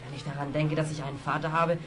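A man's voice: a little high, flat delivery, fast, close to an everyday voice. A young man playing a friendly, lonely fairground lad in a children's radio play, speaking politely and earnestly. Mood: earnest, wistful.

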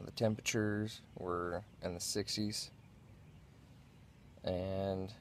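Casual male vlogger, deep and creaky, low-key and halting, reviewing gear in a tent. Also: a little hiss or hum. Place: inside a small backyard tent.

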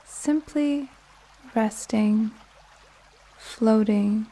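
A woman narrating a guided sleep meditation in a soothing, gentle, deliberate way. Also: fairly high pitch.

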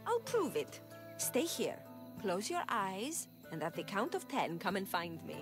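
A woman's voice: mid-range voice, big pitch swings, music in the background. An actress playing a feisty, playful young Greek woman in a video game, bold and teasing in manner. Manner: bold, quick-tempered, teasing. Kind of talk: video game cutscene dialogue.

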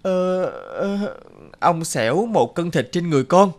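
A man voicing a poor, anxious peasant in an animated folk tale, humble and pleading. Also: high-pitched, a little slow. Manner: pleading, deferential.

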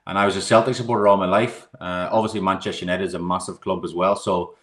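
A middle-aged Irish man talks quickly in a fairly low voice, speaking to the hosts over a video call from a room at home. He rambles a little as he goes, repeating words and leaning on "you know". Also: fairly even intonation, a bit bright. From Ireland. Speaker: guest, goalkeeping coach. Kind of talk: casual interview answers.

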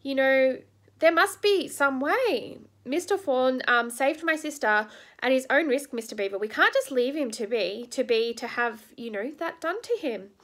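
A woman's voice: sits high, lively intonation, normal speed, a bit bright. A woman voicing an earnest young boy in a storybook, speaking hesitantly as he searches for words.

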